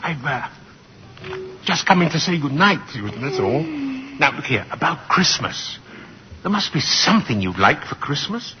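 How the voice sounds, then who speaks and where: An actor playing a kindly, whimsical old gentleman in 1940s radio style, coaxing and full of little questioning tags. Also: fairly high pitch, sing-song, a little slow. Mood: gentle, curious. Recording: muffled, noisy 1940s radio broadcast.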